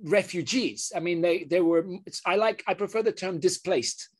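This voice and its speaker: Earnest male surgeon, interview guest, halting delivery with frequent restarts. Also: high voice, husky. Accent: British. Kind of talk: interview conversation.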